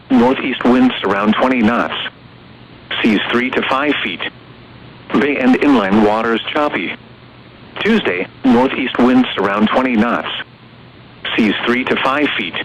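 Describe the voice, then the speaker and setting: A computer-generated male voice reading an automated weather broadcast in a flat, evenly paced way with odd stresses. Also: medium pitch. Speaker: automated weather radio announcer. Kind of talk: text-to-speech weather broadcast. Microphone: radio receiver recording. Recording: dull radio broadcast with clear noise.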